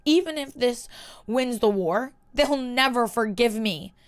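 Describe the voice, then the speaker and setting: A woman voicing a distraught, drunk young witch in a story reading, with shrill, emotional outbursts. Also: expressive, a little slow. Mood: despairing. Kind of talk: reading a fan story aloud.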